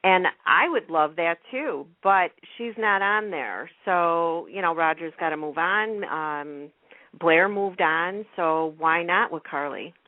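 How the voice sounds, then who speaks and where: A woman's voice: fairly low pitch, slow, no room sound. A woman radio host in casual, chatty fan talk, rambling as she tells things. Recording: thin, phone-like radio line.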